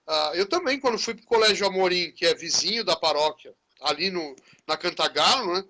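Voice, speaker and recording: A man, a teacher hosting a live-stream chat. His voice is high for a man and bright and forceful. He talks in a chatty, friendly way, tagging many phrases with a little questioning "né". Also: animated, a little fast. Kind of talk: casual conversation in a live stream.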